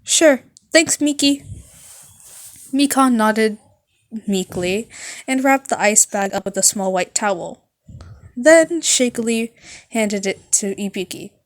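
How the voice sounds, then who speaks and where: A woman narrating fan fiction as an amateur reader, halting at times and correcting her stumbles. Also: medium pitch, animated.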